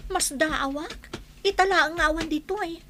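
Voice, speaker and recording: A woman's voice: very high voice, measured pace, bright, forceful tone, a little hiss or hum, heavily acted. A woman playing an inquisitive, concerned young student in an Ilocano radio drama, with an Ilocano accent. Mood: puzzled, concerned. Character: chatty, concerned young female classmate.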